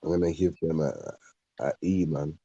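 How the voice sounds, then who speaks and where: A man's voice: a bass voice, fairly even intonation, normal speed, warm tone, breathy edge, dry recording, lo-fi sound. A man in casual football banter, slangy, emphatic and exasperated. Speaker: podcast panellist.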